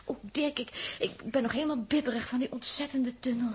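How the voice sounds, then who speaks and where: Chatty young female space traveller, Netherlands Dutch, high-pitched and breathy, 1960s radio drama delivery. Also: steady tone, a little fast. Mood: relieved, shaky. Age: young adult.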